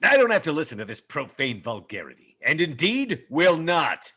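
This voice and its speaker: A man's voice: fairly high pitch, wildly swooping pitch, normal speed, pushed and bright, slightly rough, heavily acted. A man playing a pompous, prissy, put-upon naval officer in a comedy script read, with haughty, formal diction. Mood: haughty indignation.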